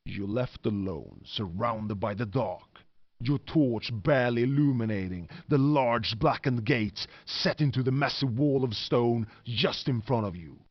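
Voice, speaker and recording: A solemn male storyteller narrates a gothic horror game with theatrical, ominous weight. His voice sits at a medium pitch. The recording is close and dry, with the dulled top end of compressed, lo-fi game audio. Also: measured pace, dry recording. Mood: grave, ominous. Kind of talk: dramatic scripted second-person storytelling narration.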